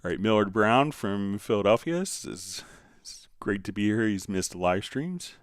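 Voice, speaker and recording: A man hosting a live stream, talking viewers through software in a casual, conversational, explanatory way. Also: on the low side, animated, a little slow, clear, resonant tone, dry recording, clean studio sound. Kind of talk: casual live tutorial and chat.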